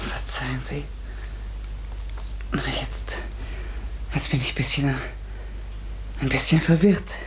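A woman's voice: extremely low pitch, fairly even intonation, takes it slowly, long pauses, whispered. An actress playing an earnest, inquisitive and easily bewildered woman in a radio play, speaking tentatively. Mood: hesitant, confused. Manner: tentative, questioning. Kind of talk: German radio play acting.

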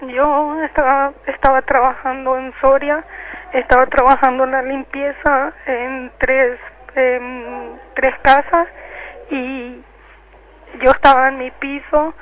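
A muffled telephone line with faint noise carries a woman's high voice. She is answering questions in a radio interview, giving her own testimony. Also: little pitch movement, takes it slowly. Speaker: detainee giving testimony by phone.

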